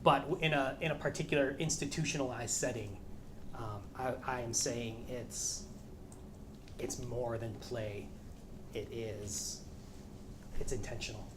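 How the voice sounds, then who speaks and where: A man in his thirties answering audience questions, thinking aloud with frequent restarts. His voice sits at an ordinary middle pitch. The lecture-room recording carries a clear layer of noise. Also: fairly even intonation, a little slow, pauses often. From American Midwest. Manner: thoughtful, hesitant, many restarts. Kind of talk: academic Q&A talk.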